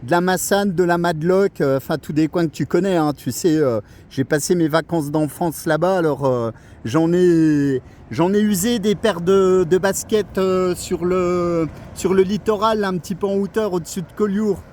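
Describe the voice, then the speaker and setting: A man's voice: sits high, normal speed, a little mellow, a little hiss or hum. A middle-aged man from France chatting casually as a radio operator, hesitating and searching for his words.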